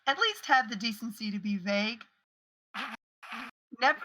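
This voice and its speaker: Woman, late 20s, slightly hoarse, co-host, bright voice, cheerful short remarks. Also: a little high, rough recording. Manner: cheerful, brief interjections. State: a little hoarse. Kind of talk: casual chat and short comic segment.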